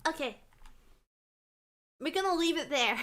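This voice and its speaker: A woman streamer reading a visual novel aloud, breaking off with animated, blurted reactions, her voice getting tired and worn. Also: sits high. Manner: animated, blurts out reactions between read lines.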